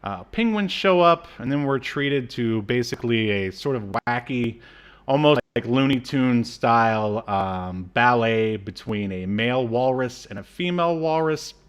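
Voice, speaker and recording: Man podcast host, halting casual chat. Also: mid-range voice, expressive, clean tone. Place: small room at home, video call.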